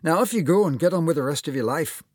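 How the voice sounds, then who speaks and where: An older Scottish surgeon as a man acts him out for a storybook, kindly and encouraging. The voice is lifted high and swings freely, with a dry, close sound. He advises in an avuncular way. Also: expressive, fast, darkish tone, no room sound.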